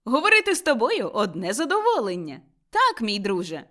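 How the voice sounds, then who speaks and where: An elderly man bursting with giddy joy in a storybook tale, acted out by a woman reader. His voice sits high and leaps around excitedly. He exclaims and repeats himself like someone newly reborn. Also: fairly quick. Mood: eager, delighted. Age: older. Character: elderly miser Scrooge, giddily overjoyed and reborn, man.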